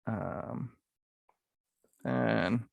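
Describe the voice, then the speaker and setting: A man's voice: on the low side, drawn-out words, creaky, a little hiss or hum. A man, a music composer, explaining how he built his theme piece, partly reading and correcting himself as he goes. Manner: restarts and corrects his script lines.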